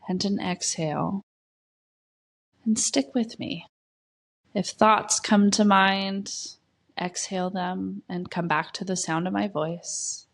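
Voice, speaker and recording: A woman leading a guided meditation, giving gentle, soft instructions with long pauses between phrases. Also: mid-range voice, gravelly.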